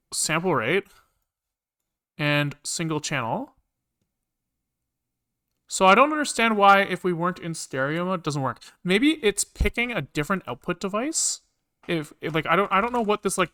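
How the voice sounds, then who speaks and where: A man casually live-coding on a stream, thinking aloud in halting, muttered bits as he works. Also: high-pitched, bright, forceful tone. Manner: halting, muttering to himself while working. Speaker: programming livestreamer.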